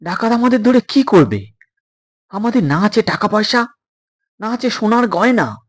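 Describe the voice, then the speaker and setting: Audio story role: plucky, anxious boy in captivity, played by an adult narrator, raised husky voice, urgent questioning. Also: medium pitch, big pitch swings, moderate pace, pitched above the performer's own voice. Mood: tired, uneasy. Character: brave, worried adolescent boys held captive, reasoning together. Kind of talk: dramatized audio story dialogue.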